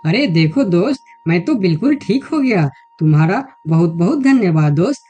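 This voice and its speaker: A woman's voice: lowish voice, expressive, moderate pace, mellow, breathy edge, soft background music. A woman voicing an emotional, easily hurt male deer in a children's cartoon story. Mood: relieved, grateful. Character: hurt, angry male deer, later grateful friend.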